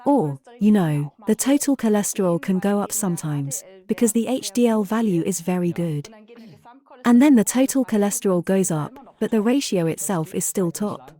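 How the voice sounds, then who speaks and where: Female interviewer, dark mellow tone at medium pitch, engaged and curious, conversational delivery. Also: expressive, normal speed. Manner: inquisitive, engaged. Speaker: interviewer, host.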